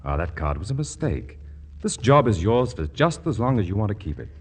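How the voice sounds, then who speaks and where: Pompous businesslike boss in old radio comedy; husky voice, animated delivery, medium pitch. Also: male voice, a little fast. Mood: brisk, magnanimous. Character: brisk, self-important male boss bestowing favours. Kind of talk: 1940s radio comedy acting.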